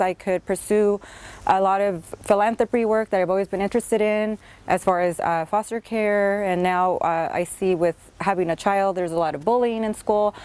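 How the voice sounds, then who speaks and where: A woman's voice: mid-range voice, a little mellow. Young woman, bilingual, earnest and upbeat, mid-range voice, casual interview delivery. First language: Spanish. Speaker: reality show contestant. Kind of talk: audition tape interview.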